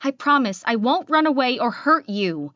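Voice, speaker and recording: A frightened young woman acted by a woman narrator speaks in an anxious, pleading way while trying to sound brave in an audiobook. Her voice is pitched up, in a dry and slightly muffled recording. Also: animated, normal speed, bright, forceful tone, no echo. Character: scared young woman putting on brave front.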